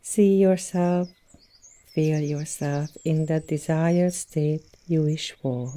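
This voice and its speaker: A woman leading a guided yoga nidra meditation as an instructor, speaking in a soothing, gentle manner with long pauses between phrases. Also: deep voice. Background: birdsong and nature sounds.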